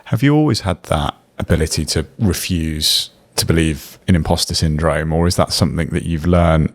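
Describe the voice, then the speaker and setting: A man's voice: very deep voice, moderate pace. A man hosting a podcast interview, asking loose, searching questions full of fillers. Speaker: podcast host.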